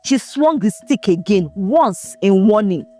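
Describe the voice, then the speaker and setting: A woman narrating a folktale in a scripted, expressive, dramatic storytelling manner. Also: mid-range voice, a little slow, soft background music.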